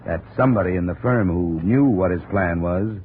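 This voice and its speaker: Hard-boiled, sardonic male investigator played by an actor, lowish voice, brisk and probing, on scratchy narrow-band 1950s radio. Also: close to an everyday voice. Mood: tough, pointed. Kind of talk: 1950s radio detective acting and narration.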